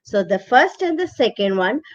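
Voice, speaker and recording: A woman telling a bedtime story to children in an animated way, repeating words for drama and putting questions to her listeners. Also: fairly high pitch, moderate pace, dry recording, dull audio.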